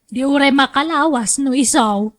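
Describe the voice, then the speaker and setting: A woman's voice: high-pitched, normal speed, a bit bright. A woman with an Ilocano accent playing a chummy middle-aged female friend in a radio drama.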